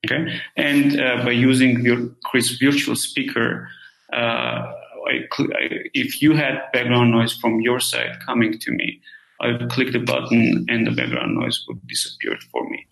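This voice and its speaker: A man with an Armenian accent explaining things casually as an interview guest, halting and repeating his words. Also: medium pitch, animated, vocal fry, a bit bright, echoey room, poor audio. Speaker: tech company co-founder and CEO, interview guest.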